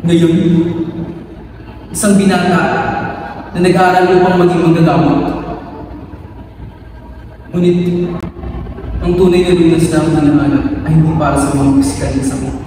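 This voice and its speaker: A man performing a dramatic monologue as a conflicted, brooding young male student and patriot, speaking Filipino-accented lines solemnly and earnestly with deliberate pauses. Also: on the high side, flat delivery, natural voice. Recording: echoey hall, distant mic, noisy background.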